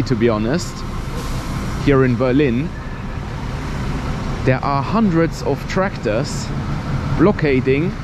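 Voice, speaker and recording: A man in his thirties from Luxembourg, narrating a walking travel vlog in a relaxed, chatty way, filling pauses with "and yeah". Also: mid-range voice. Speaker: travel vlogger. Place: outdoors on cold city streets. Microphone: handheld camera at arm's length. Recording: outdoor street noise, traffic.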